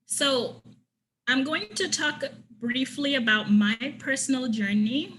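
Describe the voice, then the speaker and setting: A woman's voice: sits high, expressive, takes it slowly, a bit bright, a little hiss or hum, poor audio. A woman from Trinidad giving an online conference workshop, earnestly reading participants' comments and responding to each one. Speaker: conference presenter.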